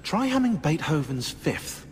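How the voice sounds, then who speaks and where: An actor playing a sarcastic, excitable know-it-all historian in a video game, eagerly explaining. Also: male voice, a little high, measured pace, slight background noise. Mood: sardonic. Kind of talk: video game dialogue acting.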